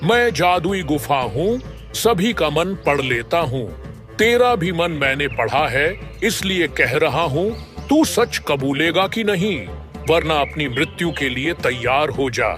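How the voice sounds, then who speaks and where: A man's voice: fairly high pitch, animated, slow pace, fairly bright tone, faint music behind. A man voicing a magical cave that threatens and accuses, in a theatrical cartoon fable style. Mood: threatening. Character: magic talking cave, menacing mind-reading accuser. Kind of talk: Hindi animated moral story dialogue.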